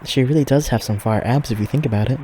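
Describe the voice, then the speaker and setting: A man's voice: mid-range voice, fast, dark tone, a little hiss or hum, close to an everyday voice. A man playing a flirty, teasing demon who reports and hosts in a fiction podcast. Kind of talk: fiction podcast banter and monologue.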